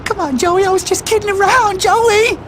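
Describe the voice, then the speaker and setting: An actor playing a desperate, treacherous gangster who pleads and wheedles in a crime game. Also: male voice, very high voice, steady tone, normal speed, fairly bright tone, slight background noise. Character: panicky, treacherous, greedy injured male gangster.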